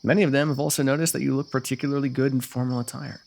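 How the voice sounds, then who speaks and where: Male actor as royal ruler, courtly, flirtatious, teasing, smitten, gravelly creak, mid-range pitch, ASMR fantasy roleplay. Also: level tone, very fast, a little mellow, lightly acted. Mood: admiring, flirtatious.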